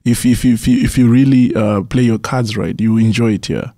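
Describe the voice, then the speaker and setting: A man's voice: medium pitch, steady tone, moderate pace, warm tone, no echo, clean studio sound. A young man from Zimbabwe, a musician guest on a radio interview, answering earnestly and often restarting his words. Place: radio studio.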